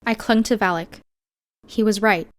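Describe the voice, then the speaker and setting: A woman narrating an audiobook in the first person, with measured, expressive storytelling. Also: medium pitch.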